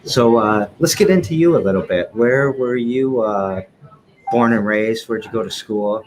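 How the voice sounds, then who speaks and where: A man's voice: medium pitch, a little slow, faint music behind, poor audio. A man hosting a podcast, chatting in a loose, friendly, laid-back way. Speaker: podcast host. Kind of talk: casual interview chat.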